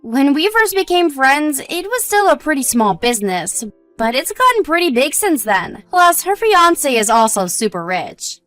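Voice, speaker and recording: A woman playing a gentle, modest young wife in a scripted audio story, talking in a reassuring, explanatory way. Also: sits high, very animated, moderate pace, few pauses, dry recording, soft background music. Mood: explaining, friendly.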